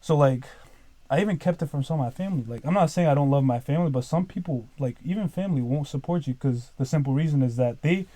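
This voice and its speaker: Mellow-voiced young man, rambling casually. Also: fairly high pitch, quick pace, no room sound. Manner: rambling, leans heavily on "like" and "dude". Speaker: podcast guest, business owner. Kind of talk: casual podcast chat.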